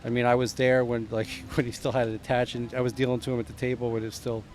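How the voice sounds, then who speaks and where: A middle-aged man from New Jersey talks as a podcast guest, wandering through stories and piling up names with plenty of pauses. His voice sits at an ordinary pitch. A faint hum of city ambience runs underneath. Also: level tone, a little mellow. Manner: rambling storyteller, drops names, trails off with "uh". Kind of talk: casual chat.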